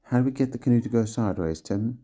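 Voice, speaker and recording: A man's voice: medium pitch, fast talker, dark tone, pitched above the performer's own voice, natural voice. A man doing a goofy cheeky schoolboy. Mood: eager. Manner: joking and cheeky. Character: goofy easygoing schoolboy sidekick.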